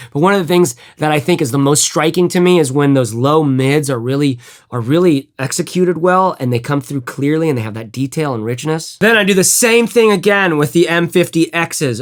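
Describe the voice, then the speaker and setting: A man with a fairly high-pitched voice talks through his gear review in a relaxed, off-the-cuff way. He rambles, trips over his own restarts and drops the odd swear word. Now and then he hums a bit of music to show what he means. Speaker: headphone reviewer. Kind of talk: casual gear review chat.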